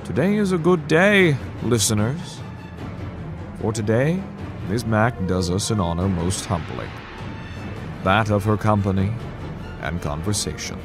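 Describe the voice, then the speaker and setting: A man's voice: on the low side, animated, a little slow, long pauses, music in the background. A man reading a scripted introduction as a podcast announcer, in florid, theatrical storyteller narration.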